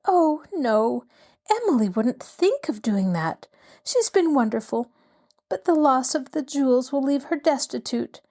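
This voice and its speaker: A woman's voice: sits high, sing-song, a little slow, mellow, pitched above the performer's own voice, full character voice. A woman voicing a genteel middle-aged lady in distress who pleads breathlessly and near tears. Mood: distressed, tearful.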